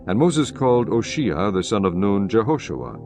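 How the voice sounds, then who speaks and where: Male narrator for scripture: low-pitched, solemn, measured delivery over a soft music bed. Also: very animated.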